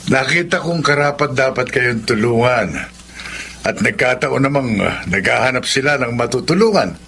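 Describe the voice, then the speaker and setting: An actor playing a kindly, respected benefactor uncle in a Filipino radio drama, avuncular and reassuring. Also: male voice, mid-range voice, expressive, normal speed, creaky, sharp tone, a little hiss or hum.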